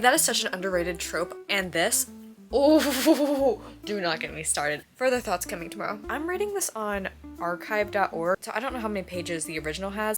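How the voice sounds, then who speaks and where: Gushing young American woman with music behind. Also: mid-range voice. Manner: gushing, expressive fangirl delivery with frequent "like". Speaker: book vlogger. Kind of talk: casual reading vlog chat.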